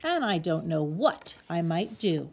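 A sensible, weary koala mum from a storybook, acted out by a woman reader. She speaks with measured parental emphasis in a slightly husky, lively voice. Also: fairly low pitch, full character voice. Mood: exasperated. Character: mother koala, sensible, weary, unexpectedly playful.

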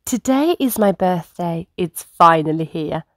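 A woman voicing an excitable young bear cub in a children's storybook, with dramatic, exclamatory delivery. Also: on the low side, measured pace, no room sound, clean studio sound. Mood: excited. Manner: exclamatory, dramatic, breaking into whispers and squeals. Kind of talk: children's storybook read-aloud.

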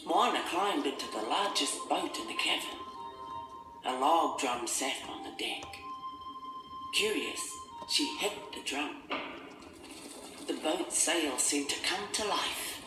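A man narrating a scripted children's story in a measured, expressive storytelling manner. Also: fairly high pitch. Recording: roomy, distant, music behind.

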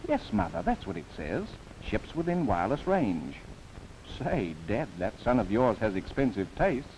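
A man's voice: mid-range voice, sing-song, normal speed, vocal fry, darkish tone, a little hiss or hum, low-quality recording. A man narrating a scripted 1930s government documentary in formal, theatrical phrasing with wry asides, on an old film soundtrack.

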